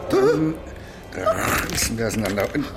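Slow, swooping macabre wizard. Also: male voice, on the low side, wildly swooping pitch, creaky, very noisy, heavily acted. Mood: ghoulishly businesslike. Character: drily macabre male wizard and court barber. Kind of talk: comic fantasy radio drama. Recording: prominent music behind the voice.

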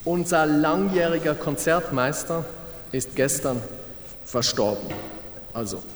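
An actor playing a shaken, formal orchestra manager making a halting, solemn speech to musicians in a radio drama. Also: male voice, fairly high pitch, expressive, a little hiss or hum. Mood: grave, shaken. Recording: echoey rehearsal hall with music behind.

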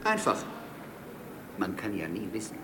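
Flustered polite lovesick guy, old radio play, halting talk, echoey room. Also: on the low side, huge pitch swings. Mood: hesitant. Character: hesitant, lovesick young suitor, polite drifter heading for the provinces. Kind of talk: 1960s German radio play acting. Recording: roomy 1960s radio studio recording.

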